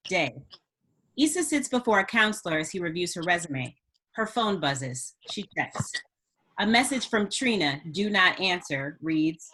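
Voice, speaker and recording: A woman's voice: mid-range voice, a bit bright, low-quality recording. A woman reading stage directions as scripted narration for a table read.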